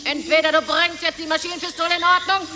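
A shrill woman leading an expedition in a radio drama. She is commanding and scolding, pitched extremely high. Her voice rings in long reverb over clear background noise. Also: flat delivery, fast, pushed and bright. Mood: angry, threatening. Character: shrill, bossy expedition leader barking threats. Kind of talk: radio play acting. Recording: noisy, long hall reverb.

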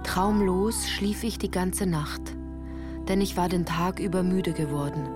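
A woman's voice: fairly low pitch, measured pace. A woman reading scripted literary first-person narration for a radio play, composed and carefully articulated. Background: music.

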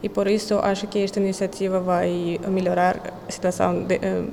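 A woman's voice: on the low side, level tone, brisk, gravelly, warm tone. A young woman from Kazakhstan, a master's student, answering interview questions hesitantly in a language she is still learning, with many fillers and restarts. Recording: echoey hall with faint noise.

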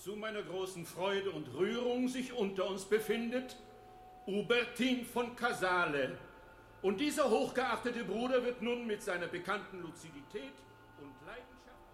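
A man's voice: sits high, expressive, normal speed, fairly bright tone, faint music behind. An actor playing a fervent churchman who declaims before an assembly in a radio drama. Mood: ceremonious. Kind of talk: German radio drama acting. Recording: echoing hall.

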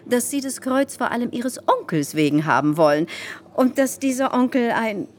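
Scheming society hostess, wildly animated. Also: female voice, a little high, normal speed. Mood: confiding, reproachful. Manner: theatrical, confiding, insistent, with repetitions for emphasis. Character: scheming, gossipy society salon hostess, insinuating and self-important. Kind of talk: radio drama acting.

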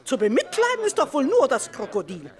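A self-righteous society lady in a radio play. Her high voice swoops widely as she scolds and declaims. She is haughty and full of moral outrage. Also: wildly swooping pitch, a little fast, a faint crowd. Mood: scornful.

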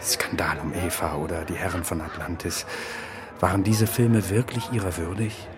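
Actor as smooth, insinuating regime emissary, low voice. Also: male voice, fairly even intonation, crowd noise, natural voice. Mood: smooth persuasion. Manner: polite, measured, insinuating. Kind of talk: German radio drama acting.

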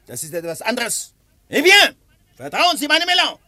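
An actor playing a pompous, indignant old French army colonel in a radio play, dropping French phrases. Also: male voice, very high-pitched, wildly swooping pitch, moderate pace, sharp tone, no room sound, heavily acted. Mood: boastful.

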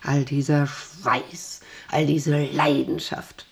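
An actress playing a proud, volatile factory boss in a radio drama, imperious and intense, obsessed with power. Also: female voice, sits low, level tone, takes it slowly, creaky, breathy edge, full character voice. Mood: proud, brooding. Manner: imperious, intense, swinging between hushed and vehement. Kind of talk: German radio drama acting.

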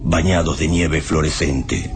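An actor playing a solemn narrator of an apocalyptic radio play, delivering literary lines in a grave, measured way. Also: male voice, rumbling low, fairly even intonation, background noise. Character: solemn omniscient male narrator of an apocalyptic tale. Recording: loud music bed behind voice. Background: loud music.

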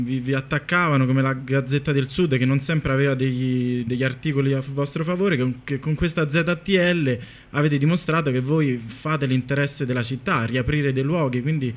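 Brief: male radio co-host, medium pitch, casual interviewing, slightly stumbling delivery. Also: fairly even intonation, normal speed.

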